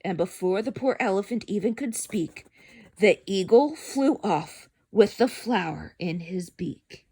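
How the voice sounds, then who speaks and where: A woman's voice: medium pitch, animated, unhurried, mellow, slightly rough. A woman reading a children's picture book aloud expressively and chatting to young listeners about it. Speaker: storyteller.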